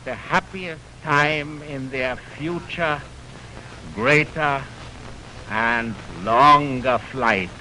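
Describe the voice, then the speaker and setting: A man's voice: fairly high pitch, sharp tone. A man hosting a 1920s radio music program, telling stories in a florid, dramatic manner. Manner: theatrical, florid, dramatic storyteller. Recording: noisy, warped 1929 radio aircheck on film.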